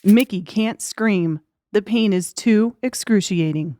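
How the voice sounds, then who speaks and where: A woman's voice: mid-range voice, slow, warm tone. A woman reading dramatic scripted audiobook narration as the storyteller.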